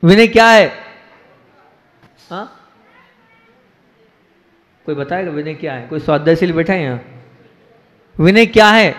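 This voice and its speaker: A male Jain monk delivering a sermon to a gathered audience, asking rhetorical questions and repeating phrases for emphasis, swinging between raised emphatic lines and quiet asides. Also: fairly high pitch, lively intonation, measured pace, long pauses. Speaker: Jain monk giving a discourse. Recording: echoey hall with long reverb.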